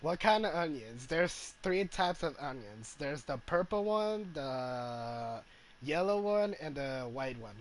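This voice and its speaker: Male gaming streamer, casual and unhurried, animated pitch movement, slightly high register. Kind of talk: casual chat while playing.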